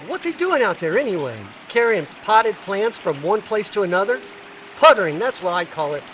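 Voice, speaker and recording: A man's voice: high voice, sing-song, moderate pace, fairly bright tone. A man playing a grumbling, clumsy ageing sidekick in an old-time radio adventure, with a folksy, clipped delivery. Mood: grumbling, weary. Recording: live stage recording with background noise.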